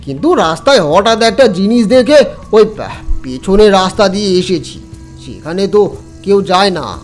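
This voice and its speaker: A man playing a secretive, terse village man in a horror audio story, speaking with deliberate, eerie calm. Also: high-pitched, soft background music. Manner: slow, deliberate, evasive.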